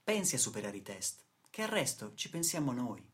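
A man's voice: medium pitch, sing-song, brisk, a bit bright. A man playing a condescending, evasive engineer boss in a radio play, speaking in a formal, officious way. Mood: smug, dismissive.

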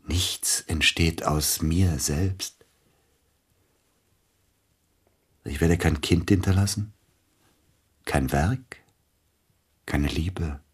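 An actor playing a melancholy, self-doubting celebrated pianist in a German radio drama, speaking in a husky, brooding, intimate way. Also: male voice, very deep voice, slow, long pauses, heavily acted. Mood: bitter, resigned.

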